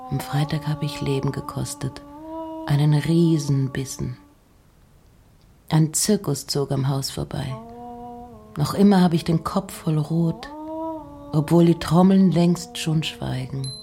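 A woman reciting poetry in a measured, hushed and deliberate way, line by line. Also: sits low, creaky, a little hiss or hum. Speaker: poetry reader.

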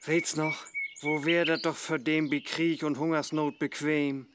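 An actor playing a suspicious, scornful working-class father who grumbles in a rhymed radio play, with a Low German accent. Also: little pitch movement, unhurried, noisy background, lightly acted. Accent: Low German, northern Germany.

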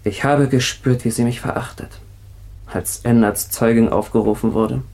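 An actor playing an agitated escaped convict in a radio crime drama, confessing in a halting, emotional way. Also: male voice, medium pitch, steady tone, a little mellow, lightly acted. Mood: bitter, downcast.

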